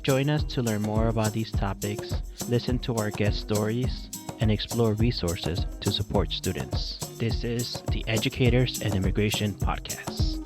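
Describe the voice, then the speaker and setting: A man from Mexico whose first language is Spanish, hosting a podcast and reading a scripted personal story in a measured way. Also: lowish voice, over loud music. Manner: measured, read-aloud delivery. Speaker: podcast host. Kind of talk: scripted solo narration.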